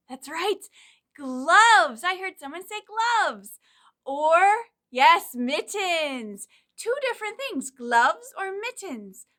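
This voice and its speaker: Female children's storytime leader, bright and high, encouraging delivery aimed at little ones. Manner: animated, encouraging, speaks directly to young children. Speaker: children's librarian leading storytime. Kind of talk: children's storytime with singing and reading aloud.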